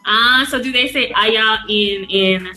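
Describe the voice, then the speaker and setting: A woman's voice: on the high side, a little slow, pushed and bright, soft background music. A woman hosting a live podcast, upbeat, asking eager questions. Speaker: podcast host.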